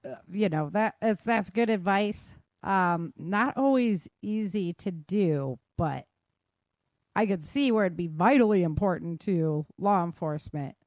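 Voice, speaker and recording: A woman's voice: lowish voice, lively intonation, a little slow, darkish tone, no room sound, clean studio sound. A woman hosting a podcast in casual chat, talking in an informal, slangy and self-deprecating way. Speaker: podcast host.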